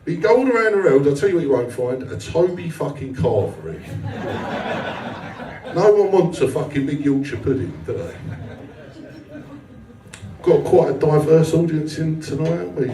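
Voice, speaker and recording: A man's voice: fairly high pitch, sing-song, moderate pace, long pauses, a crowd in the background. A man doing live stand-up comedy, blunt and sweary, chatting with the audience in a self-deprecating way. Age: 40s. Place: small indoor comedy venue with a live audience. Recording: roomy live club, distant mic, audience noise.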